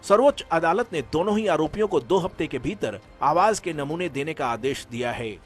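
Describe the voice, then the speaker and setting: Man, somewhat high voice, scripted news reporting, music underneath. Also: lively intonation, normal speed. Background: background music.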